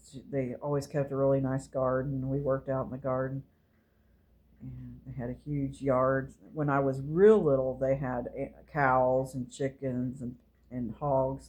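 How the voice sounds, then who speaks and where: A woman, who reminisces casually and gently, meandering along as she talks to her viewers. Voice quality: low voice. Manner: slow, meandering and gentle, with fillers. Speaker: channel host.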